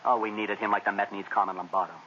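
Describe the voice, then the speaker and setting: An actor playing an excitable young jazz band drummer who talks in eager, chatty jive slang, in 1940s radio acting. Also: male voice, fairly low pitch, fast talker. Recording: old radio broadcast, dull with faint hiss.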